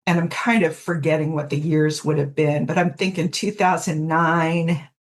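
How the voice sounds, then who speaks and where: Woman, low voice, podcast guest, casual conversation, reflective, effusive asides. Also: fairly even intonation, moderate pace. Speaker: podcast guest, fanfiction audiobook creator.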